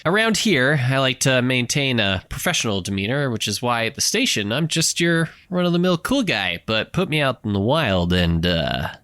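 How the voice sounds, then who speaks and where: A man's voice: medium pitch, big pitch swings, normal speed, few pauses, a bit bright, clear, resonant tone, no echo, clean studio sound. An actor playing a pompous, womanizing radio producer in a comedy audio drama, full of melodramatic boasting. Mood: smug boasting. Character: smug self-styled cool guy radio producer, womanizing braggart. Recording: music behind the voice.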